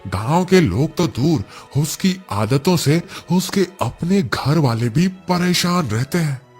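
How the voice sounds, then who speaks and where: Man as earnest village priest's spirit, respectful, slightly high voice, slow, cartoon fable. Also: animated, darkish tone, husky, soft background music, full character voice. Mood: earnest, complaining. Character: deferential dead village priest, a man's soul. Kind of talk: Hindi cartoon moral story acting.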